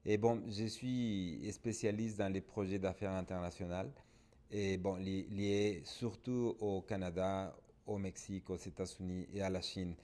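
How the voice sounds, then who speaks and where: Un homme d'origine mexicaine, avec un espagnol mexicain, répond en studio en tant que consultant et répète souvent ses mots. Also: male voice, low-pitched, flat delivery, a little slow, dark tone. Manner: repeats and restarts words. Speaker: international development consultant. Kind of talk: panel guest answering.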